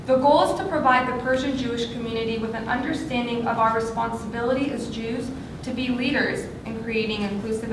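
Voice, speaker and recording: A young woman from Los Angeles giving an earnest prepared speech as a student speaker, with small slips. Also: a little high. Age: young adult. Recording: echoey hall, far from the mic, noisy.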